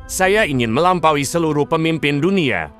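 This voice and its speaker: A man's voice: a little high, animated, normal speed, fairly bright tone, soft background music. A man voicing an arrogant, greedy king who boasts and barks commands in a dubbed cartoon. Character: arrogant greedy middle-aged male king.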